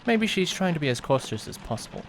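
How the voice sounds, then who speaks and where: Casting: male actor as awkward young driver narrating his own story, conversational and musing, very lively pitch, clear rain and car noise behind. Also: fast. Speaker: narrator and lead character. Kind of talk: first-person audio drama narration with acted dialogue. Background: rain and vehicle sounds.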